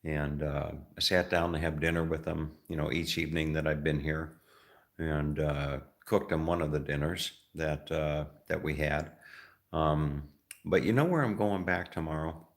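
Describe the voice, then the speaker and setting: A middle-aged man from the United States talking casually as a travel vlogger, rambling and halting with many fillers and restarts. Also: very deep voice, fairly even intonation. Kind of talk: casual vlog talk.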